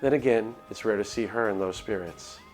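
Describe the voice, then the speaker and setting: A man's voice: lowish voice, brisk, a little mellow, faint music behind, poor audio. A man streaming a game live, reading a visual novel aloud and chatting casually in a hesitant, rambling way.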